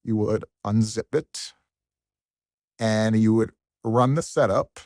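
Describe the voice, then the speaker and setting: A man giving an informal, unscripted software tutorial, rambling a little and thinking aloud. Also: lowish voice, steady tone, takes it slowly, dry recording. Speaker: tutorial presenter.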